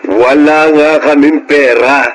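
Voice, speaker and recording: A man playing a weary, earnest middle-aged Filipino family man in a radio drama, speaking in measured, formal phrases. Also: a little high, fairly even intonation, rough recording. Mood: firm, earnest.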